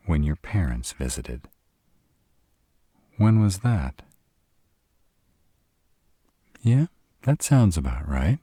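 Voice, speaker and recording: A man's voice: extremely low pitch, mellow, breathy edge, no room sound, clean studio sound, exaggerated voice. A man playing a sarcastic, irritable vampire in an intimate roleplay audio drama, grumbling and dramatic in his delivery. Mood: sardonic, grumbling. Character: sarcastic irritable male vampire, stranded in a human's home.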